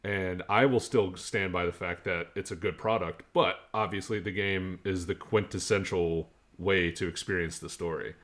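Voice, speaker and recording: Deep-voiced man, measured podcast chat. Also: steady tone, a bit bright. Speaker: podcast co-host. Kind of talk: casual chat about games and shows.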